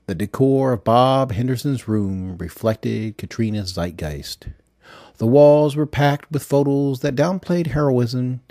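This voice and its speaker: A man's voice: medium pitch, mellow, no echo, clean studio sound. A man reading a satirical novel as scripted narration, now and then stumbling and rereading a word. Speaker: audiobook narrator reading fiction.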